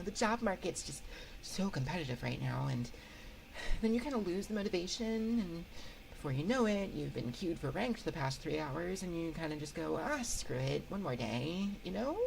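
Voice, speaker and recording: A woman's voice: on the low side, creaky, a little mellow, poor audio. A woman voicing a timid, awkward female shade in an ASMR roleplay, stammering and rambling nervously into a close microphone. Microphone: close binaural microphone.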